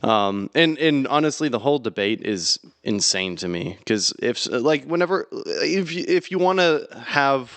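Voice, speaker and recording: Casting note: mid-pitched man, smooth clear voice, blunt ranting podcast delivery. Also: expressive, unhurried, few pauses, fairly bright tone, dry recording. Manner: mock-boastful, then blunt and ranting. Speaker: podcast co-host. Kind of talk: casual comedy podcast chat.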